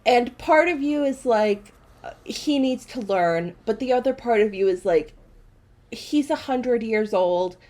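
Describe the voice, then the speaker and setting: A young woman co-hosting a podcast, chatting casually with quick asides and self-corrections. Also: fairly high pitch, expressive, a little mellow. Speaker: podcast co-host. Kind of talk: casual chat.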